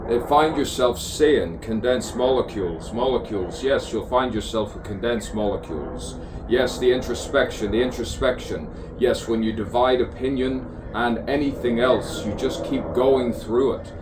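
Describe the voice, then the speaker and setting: A man's voice: mid-range voice, normal speed. A man improvising spoken-word poetry in a musing, theatrical stream of consciousness, repeating phrases and playing with rhymes. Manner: musing, repeats phrases insistently, rhyming wordplay. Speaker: poet improvising a spoken soliloquy. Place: outdoors on an avenue. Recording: distant mic, clear outdoor background noise. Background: crows and birds cawing.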